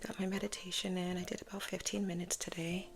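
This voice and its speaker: Whispering sleepy woman with gravelly fry. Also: lowish voice, level tone, fast, soft background music. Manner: mostly whispered, chatty and casual. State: sleepy just woke up. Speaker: vlogger. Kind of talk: soft-spoken whispered get-ready-with-me vlog. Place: at home, doing makeup.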